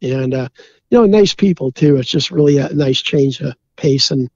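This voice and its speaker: A man's voice: medium pitch, moderate pace, gravelly, a little mellow, dry recording. Easygoing rambling man, dull lo-fi sound. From Minnesota. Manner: rambling, easygoing, many "you know" fillers. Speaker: guest, beer brewer. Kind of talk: casual interview chat.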